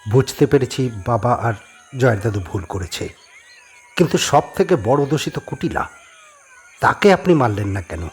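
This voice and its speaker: A man's voice: mid-range voice, expressive, moderate pace, pauses often, close to an everyday voice. A man playing an earnest young man in a horror audio drama, speaking in a respectful, questioning way. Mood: indignant. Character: earnest young man questioning a spirit about his past.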